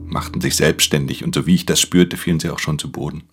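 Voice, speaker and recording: An actor playing a weary, watchful pickpocket who narrates a radio crime drama in a measured, introspective way. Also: male voice, rumbling low, steady tone, over music. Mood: brooding, watchful. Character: male professional pickpocket, haunted, watchful, weary loner.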